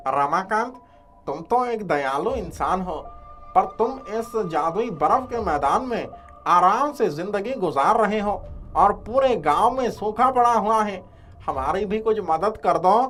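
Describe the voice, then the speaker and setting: A man acts a villager in a cartoon moral tale. The voice is high and slow, with music playing behind it. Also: lively intonation, fairly bright tone. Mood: pleading. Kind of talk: cartoon moral-story acting.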